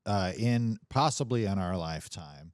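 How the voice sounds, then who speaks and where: A man in a casual livestream conversation, talking in a halting, rambling way with some swearing. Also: low-pitched, expressive, darkish tone, dry recording, studio quality.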